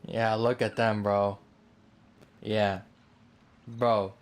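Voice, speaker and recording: A woman's voice: a bass voice, flat delivery, very slow, darkish tone, soft background music. A woman livestreamer giving casual, reactive gameplay commentary, informal and often repeating her phrases. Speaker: game livestreamer.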